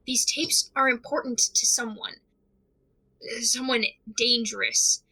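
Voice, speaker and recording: An actress playing a frightened, isolated young investigator in an audio drama monologue, speaking haltingly and uneasily with restarts. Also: female voice, fairly high pitch, steady tone, pushed and bright, breathy edge, heavily acted, poor audio. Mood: anxious, fearful. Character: frightened isolated young investigator unravelling over mysterious tapes.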